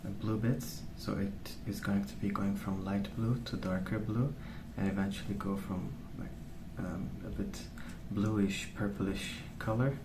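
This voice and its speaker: A man rambling softly in an ASMR style, hushed, hesitant and unhurried. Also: lowish voice, fairly even intonation. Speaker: ASMR video host. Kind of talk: soft-spoken ASMR rambling. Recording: roomy, distant mic with clear noise.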